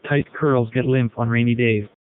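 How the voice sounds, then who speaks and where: A tinny, phone-like recording with faint noise behind it. A man with a mid-pitched voice reads short, separate test sentences. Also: steady tone, moderate pace.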